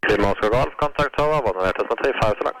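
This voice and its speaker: A man working as an airport ground controller, giving measured, routine taxi instructions in clipped radio phraseology. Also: medium pitch, fairly bright tone, slight background noise, low-quality recording.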